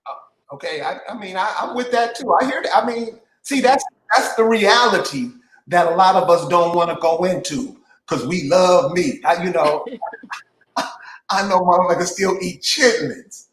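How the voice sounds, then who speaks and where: Blunt high-voiced man in an echoey room. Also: moderate pace, fairly bright tone, slight background noise. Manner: blunt, swears freely, repeats himself. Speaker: host. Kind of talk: casual interview chat.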